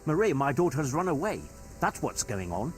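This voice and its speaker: A man's voice: on the high side, very animated, brisk, soft background music. An actor playing a timid, worried middle-aged merchant father in a medieval video game. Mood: worried, defensive. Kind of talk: naturalistic video game acting.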